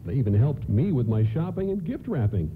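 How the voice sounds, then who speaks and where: A man's voice: medium pitch, big pitch swings, fairly quick, lightly acted. An actor playing a frazzled, grateful father and husband, earnest and hesitant, in a radio play. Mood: relieved, emotional. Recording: narrow, noisy AM radio broadcast.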